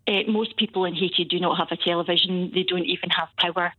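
A woman co-founder of a charity, talking on a radio phone-in in a warm, rambling way with many ums. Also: fairly low pitch, fairly even intonation, fast talker, breathy edge, no echo, lo-fi sound. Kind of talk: casual radio chat.

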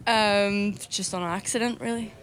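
Slow-speaking woman from Sweden. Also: medium pitch, steady tone, a crowd in the background, rough recording. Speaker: event-goer being interviewed. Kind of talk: casual chat.

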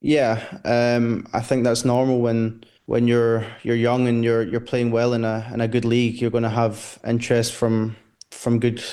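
A man's voice: medium pitch, dark tone. A young Scottish man with a Glasgow accent, a footballer answering interview questions hesitantly, with many fillers and repeated words. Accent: Glaswegian. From Scotland. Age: 20s.

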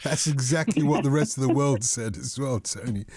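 A man's voice: medium pitch, normal speed, a crowd in the background. A man hosting a late-night radio phone-in, chatty and joking with callers. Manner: jokey, chatty, chuckles often. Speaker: radio host. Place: radio studio.

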